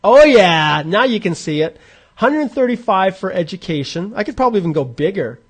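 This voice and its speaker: Chatty man in his forties, higher voice. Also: very animated, normal speed. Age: 40s. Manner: chatty and informal, thinking aloud with restarts. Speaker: immigration advisor hosting a live Q&A. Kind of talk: live-streamed explainer walking through a calculator.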